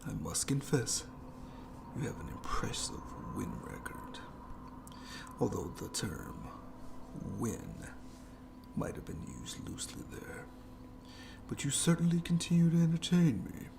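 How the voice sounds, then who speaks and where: A man's voice: mid-range voice, big pitch swings, takes it slowly, vocal fry, very noisy, exaggerated voice. A man voicing a sadistic, playful monster in a horror ASMR roleplay, whispering with taunting mock courtesy. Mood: taunting, savoring. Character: sadistic, playful, menacing monster lurking in its lair.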